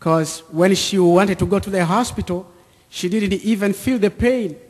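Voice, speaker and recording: A man in his thirties with an East African accent, giving a personal testimony to an audience in deliberate, short repeated phrases. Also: sits high, a little mellow. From Central or East Africa, near Lake Tanganyika. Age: 30s. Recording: echoey hall with long reverb.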